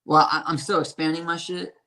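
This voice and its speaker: A man with a raspy, hoarse voice, talking about his music in a casual interview and rambling with lots of fillers and swearing. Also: fairly high pitch, level tone, a little fast, bright, forceful tone. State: hoarse from a strained voice. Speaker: independent musician.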